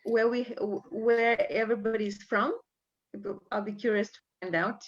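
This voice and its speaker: A woman hosting a live coaching webinar over a video call, talking with many fillers and pauses. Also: on the high side, steady tone. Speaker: webinar host and coach trainer. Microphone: computer mic on video call. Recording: dull lo-fi call audio.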